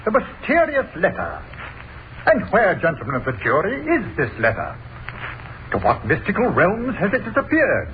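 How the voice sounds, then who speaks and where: A scornful Crown prosecutor who orates at the jury with mocking questions. His voice is high for a man and swings widely. The sound is thin and faintly hissy, like an old radio broadcast. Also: wildly swooping pitch, normal speed, phone-quality sound. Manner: oratorical, mocking rhetorical questions. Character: theatrical male Crown prosecutor, scornful and grandstanding.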